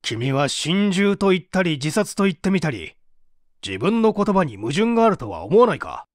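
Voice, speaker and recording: A man voicing a stern, methodical prosecutor in a dramatic audiobook, formal and measured as he presses a suspect. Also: sits high, very animated, no room sound, studio quality. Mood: pressing.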